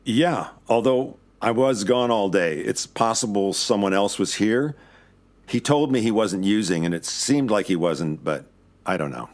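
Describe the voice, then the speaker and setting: A man's voice: lowish voice, expressive, measured pace, no room sound. An actor playing a weary, wry investigator who narrates his own case in an audio drama. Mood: subdued. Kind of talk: first-person noir-style audio drama narration.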